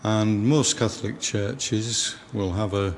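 A man with a Northern English accent, giving a slow, gentle guided tour inside a large church, recorded on a handheld camera. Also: fairly low pitch, vocal fry. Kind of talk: unscripted guided tour voice-over. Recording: reverberant church hall, faint background noise.

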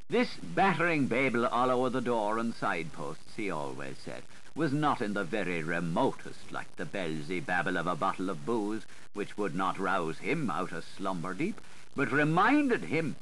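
Man reading theatrically, very lively pitch, hissy recording. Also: moderate pace. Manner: playful, dramatic, sing-song delivery with shifting inflection. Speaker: audiobook narrator. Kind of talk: theatrical literary audiobook reading. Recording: noisy 1980s audiobook recording.

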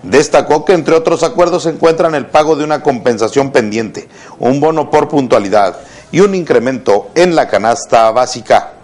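A man's voice: medium pitch, moderate pace, darkish tone. A middle-aged man with a Mexican accent presenting scripted news as a studio anchor.

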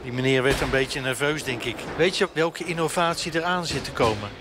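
A man's voice: mid-range voice, fairly quick. A male radio reporter asking breezy, joking questions in a bowling alley, holding a handheld mic. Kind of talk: on-location radio interview. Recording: on-location radio report, background noise. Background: bowling alley ambience.

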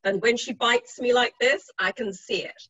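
A woman's voice: fairly high pitch, moderate pace, fairly bright tone. A woman with an English accent speaking as a panelist on a video call, chatty and self-deprecating, often restarting her sentences. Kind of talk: panel discussion. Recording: muffled video call audio.

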